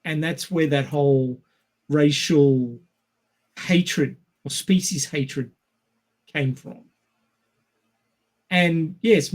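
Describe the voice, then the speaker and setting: A man's voice: a little high, darkish tone. A man co-hosting a casual film discussion, explaining his points and posing rhetorical questions. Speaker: podcast co-host.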